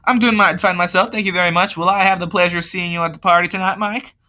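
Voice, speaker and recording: Reader putting on a chummy Southern schoolboy, higher-pitched. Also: steady tone, fast, pushed and bright, natural voice. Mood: folksy, friendly. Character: friendly, courteous Southern schoolboy, folksy and chummy.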